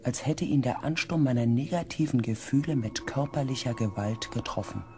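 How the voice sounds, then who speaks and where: A man playing a reflective expedition member, narrating his report in a measured, literary way in a science fiction radio play. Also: mid-range voice, lightly acted. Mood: somber, reflective. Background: music.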